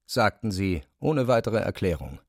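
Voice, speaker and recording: Low-voiced man narrating, close and dry. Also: very animated, normal speed, a little mellow, no echo. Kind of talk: literary scripted narration.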